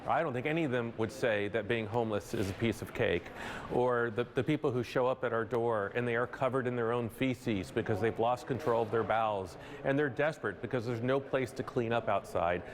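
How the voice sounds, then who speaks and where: An American man speaks as the director of a nonprofit, answering in an interview. His voice sits at an average pitch, with clear ambient noise behind it. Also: a little fast.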